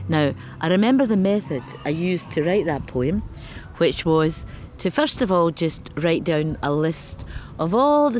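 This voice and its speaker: Glasgow woman poet, low voice, thoughtful and measured, light background hiss. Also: a little mellow. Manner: thoughtful, reads a poem aloud slowly. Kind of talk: interview with poetry reading.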